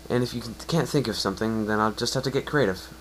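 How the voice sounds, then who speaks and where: A man's voice: lowish voice, fast talker, a little hiss or hum, lightly acted, poor audio. A man voicing a grateful, wistful pony who has lost flight, in an amateur story reading. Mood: agreeable.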